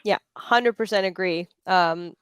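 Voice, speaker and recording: A woman's voice: fairly low pitch, unhurried, clean tone, no room sound. Woman hosting a panel chat, talking off the cuff, very dry sound no room. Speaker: panel moderator. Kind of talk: conversational panel moderating.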